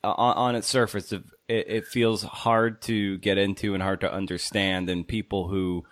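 Deep-voiced man hosting a conversational interview. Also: little pitch movement, unhurried, slight background noise. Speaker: podcast host, interviewer. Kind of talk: conversational long-form interview.

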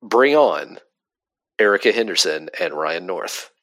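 Slow-talking animated man in his thirties. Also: deep voice. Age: 30s. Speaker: podcast co-host. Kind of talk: casual chat.

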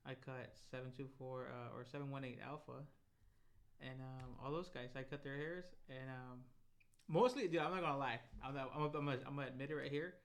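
A man's voice: medium pitch, steady tone, brisk. A man, co-hosting a podcast in a laid-back, slangy, rambling chat. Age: 20s. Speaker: podcast co-host. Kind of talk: casual chat.

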